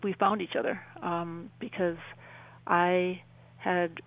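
A woman in her sixties with a Midwestern American accent, telling a personal story as an interview guest in a halting, conversational way. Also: fairly low pitch, level tone, no echo, poor audio. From southwestern Wisconsin, United States. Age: 60s.

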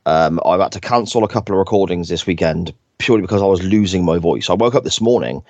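A man hosting a podcast in casual chat, croaky from a sore throat, rambling and correcting himself as he goes. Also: sits low, expressive, fast, a little mellow, dry recording, highs cut off. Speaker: podcast host.

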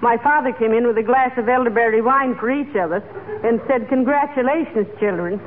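An actress playing a folksy, good-humored wife with a homely, chatty manner in an old radio comedy. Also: female voice, on the high side, a little fast, lightly acted. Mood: sentimental. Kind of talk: 1940s radio comedy acting. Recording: tinny, very noisy old radio, audience.

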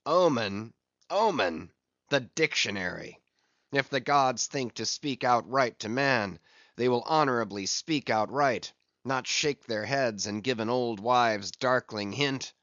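A brooding old whaling captain, as a male reader puts him on, hurling stern commands and defiance. He speaks slowly and heavily. The sound is dry and muffled, like a lo-fi audiobook. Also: mid-range voice, lively intonation, a bit bright, no room sound. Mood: defiant. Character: grim obsessed older sea captain. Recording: dull lo-fi audiobook recording.

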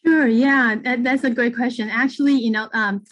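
Higher-pitched middle-aged woman from Taiwan, halting. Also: steady tone, dry recording, lo-fi sound. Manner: halting, conversational, punctuated with "you know". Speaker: political candidate, interview guest. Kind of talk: casual campaign interview talk.

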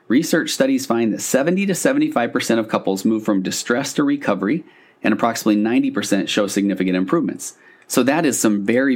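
A man hosting a casual solo podcast as a couples therapist, talking in an earnest, conversational, slightly rambling way with self-corrections. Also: on the low side.

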